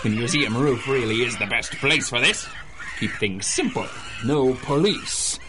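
An actor playing a deranged, gleeful supervillain who commands monkeys, theatrical and taunting, in a 1930s-style radio drama. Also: male voice, medium pitch, expressive, unhurried, background noise, natural voice. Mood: manic glee. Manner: theatrical, taunting, cackling, swinging from croon to shriek.

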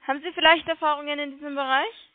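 A woman with a high-pitched voice makes a sales call. She is polite but persistent, coaxing the listener with questions. The sound is a dry, narrow telephone line. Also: fairly even intonation, fast, no room sound. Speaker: telemarketing caller selling online trading. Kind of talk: sales pitch over the phone. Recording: muffled phone line.

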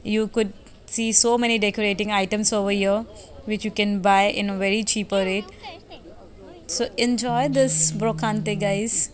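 A woman's voice: medium pitch, steady tone, unhurried, a crowd in the background. Chatty woman narrating a travel vlog. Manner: chatty, hesitant with fillers. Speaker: travel vlogger. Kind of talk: voice-over narration.

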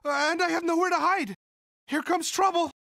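A man voicing a sweet, timid young monster student in an animated video game, speaking in a hesitant, polite, childlike and earnest way. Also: very high voice, normal speed, creaky, no room sound, studio quality, heavily acted. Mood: startled, alarmed. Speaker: video game character voice actor.

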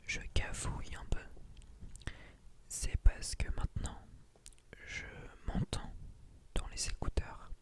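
A woman speaks in a breathy whisper, very close to the mic, in the style of an ASMR creator. Her delivery is slow and hushed, broken into short phrases addressed to her viewers. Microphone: close microphone on a boom arm.

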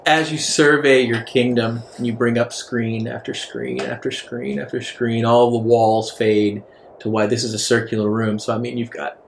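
A man running a tabletop role-playing game as game master, improvising narration in a halting, deliberate way with dramatic pauses. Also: medium pitch, level tone, creaky. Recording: roomy echo with some background noise.

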